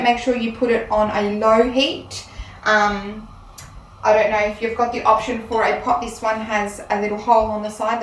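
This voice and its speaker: A woman teacher giving a casual cooking demonstration in a home kitchen, chatty and explaining step by step with frequent ums. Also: a little high. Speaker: Italian teacher. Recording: roomy sound, mic at a distance, faint room noise.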